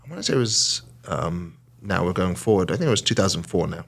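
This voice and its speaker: A man with a British accent and a very low voice tells his story in a relaxed conversational podcast. He is animated and drops into quoted lines from other people. He sounds like he is in his early forties. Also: fast, creaky. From South Africa. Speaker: hotel and casino CEO. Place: small podcast studio.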